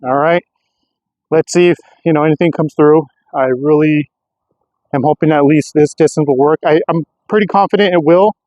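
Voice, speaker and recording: A man's voice: fairly high pitch, moderate pace, no echo. A man talking casually as a vlogger during a field test of radio gear, in slightly muffled audio.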